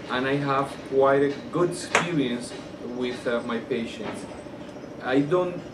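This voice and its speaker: A man from Colombia, a native Spanish speaker with a Colombian accent, answers interview questions as a surgeon in halting phrases with repeated words. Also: mid-range voice. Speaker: orthopedic surgeon being interviewed. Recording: roomy, distant mic, air-conditioner hum.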